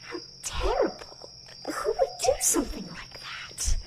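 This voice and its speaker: Woman as a high-voiced teasing tree nymph. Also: wildly swooping pitch, measured pace, heavy background noise, heavily acted. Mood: dramatic. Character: female tree nymph, haughty, playful, teasing. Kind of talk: fantasy roleplay audio drama, ASMR. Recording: distant, echoey, loud night insect ambience.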